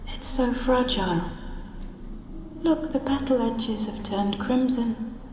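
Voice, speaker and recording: A melancholy noblewoman from a fantasy video game, dreamy and world-weary. She speaks very slowly and formally, in a hushed, wistful way. Her voice echoes amid clear background noise. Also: on the high side. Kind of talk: video game dramatic acting. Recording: roomy echo, noisy game ambience.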